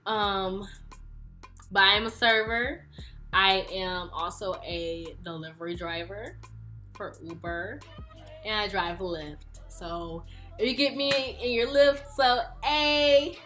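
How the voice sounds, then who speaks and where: A woman's voice: medium pitch, takes it slowly, pushed and bright, faint music behind. A woman in her early twenties, chatting casually and playfully through a question-and-answer vlog. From Houston, Texas. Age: 20s. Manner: chatty, playful, laughs often. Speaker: YouTuber.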